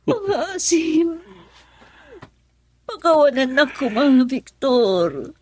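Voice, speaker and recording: A high female voice, slow and pleading with a Filipino accent. She is a remorseful wife and mother in an emotional radio soap. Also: sing-song, slight background noise, full character voice. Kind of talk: Ilocano radio drama acting.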